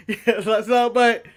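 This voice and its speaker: A man co-hosting a casual wrestling review podcast, joking and laughing often. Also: very high voice. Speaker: podcast co-host.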